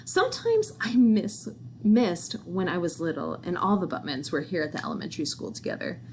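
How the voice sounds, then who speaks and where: A woman reading a children's novel aloud, telling it in the first person in an expressive, folksy manner. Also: on the low side, a little fast. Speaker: book reader. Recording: faint background noise.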